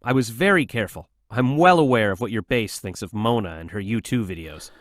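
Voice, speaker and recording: A man's voice: fairly low pitch, animated, a little fast, no room sound, clean studio sound, close to an everyday voice. A man acting as a defensive, naive, hot-headed son of a mayor in an audio drama, with a petulant manner.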